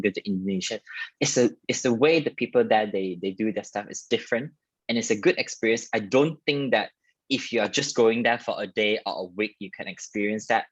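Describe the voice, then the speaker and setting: A man's voice: lowish voice, slightly rough, no room sound, lo-fi sound. A young man from Malaysia with a Malaysian accent, telling personal stories in a chatty, wordy way as a guest dentist in a career talk. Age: young adult.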